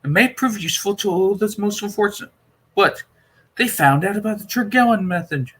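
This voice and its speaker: A man voicing a precise, excitable time-travelling doctor in an audiobook, lecturing emphatically and wordily. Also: high voice, fairly quick, pitched above the performer's own voice. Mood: grave explaining.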